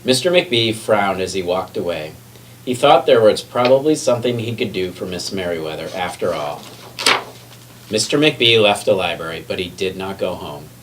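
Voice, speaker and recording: A man reading a children's picture book aloud expressively, sometimes stumbling and correcting himself. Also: deep voice. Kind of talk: children's read-aloud storytelling. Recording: distant mic with faint background noise.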